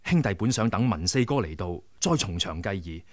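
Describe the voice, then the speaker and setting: An actor playing a young rebel leader who speaks humbly and formally, in a radio drama. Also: male voice, low-pitched, expressive, brisk, a little mellow, no room sound, clean studio sound. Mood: humble. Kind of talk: 1990s radio drama acting.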